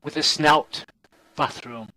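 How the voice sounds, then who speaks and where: A man performing a poetry reading in deliberate short phrases with long pauses. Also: fairly high pitch, fairly even intonation, bright, forceful tone, dry recording, rough recording.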